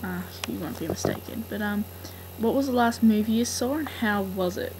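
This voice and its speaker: A woman's voice: medium pitch, expressive, normal speed, vocal fry, a little mellow. A woman from Australia with an Australian accent, answering fan questions in a casual, rambling way with frequent ums. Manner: rambling, hesitant, many ums. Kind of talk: casual voice meme monologue. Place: home room. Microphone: built-in laptop mic. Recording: noisy home laptop recording.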